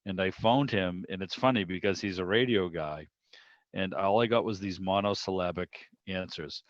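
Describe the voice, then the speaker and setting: A man with a fairly low voice chats casually, wandering from one anecdote to the next. The sound is somewhat dull, like a video call. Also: moderate pace, no echo. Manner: rambling, anecdotal storytelling. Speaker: radio show producer and interviewer. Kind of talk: casual hobby club chat.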